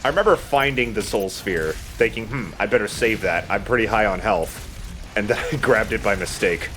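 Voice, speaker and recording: A man's voice: lowish voice, normal speed, a bit bright, a little hiss or hum. A man giving casual, chatty, self-deprecating commentary while he plays a video game as host. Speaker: let's play host playing the game.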